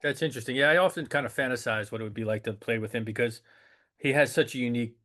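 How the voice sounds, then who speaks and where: A man's voice: medium pitch, quick pace, fairly bright tone. A man hosting an interview, musing aloud in a friendly way before asking his questions. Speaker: interview host. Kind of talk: casual interview conversation.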